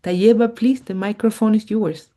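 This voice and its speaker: A woman's voice: medium pitch, steady tone, normal speed, warm tone. A woman moderating an online session, courteous as she thanks speakers and hands over the floor. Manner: courteous and gracious.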